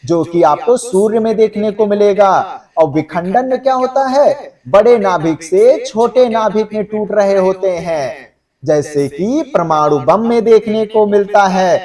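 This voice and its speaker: A man's voice: high-pitched, darkish tone, distant mic. A man teaching exam students in an explanatory, conversational lecture, often checking that they follow. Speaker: exam-coaching geography teacher.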